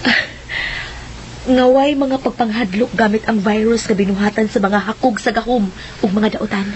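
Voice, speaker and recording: A woman plays a proud, loving sweetheart in a radio drama. Her voice is fairly high and sincerely affectionate, with faint noise behind it. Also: steady tone, moderate pace, natural voice, rough recording. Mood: happy, earnest. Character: proud, loving girlfriend of the hero. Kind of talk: melodramatic radio drama acting.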